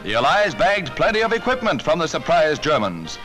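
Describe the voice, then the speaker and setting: A man's voice: a little high, very animated, moderate pace. An American man reading a scripted 1940s newsreel narration in an urgent, dramatic, declamatory manner on a vintage soundtrack. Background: loud music.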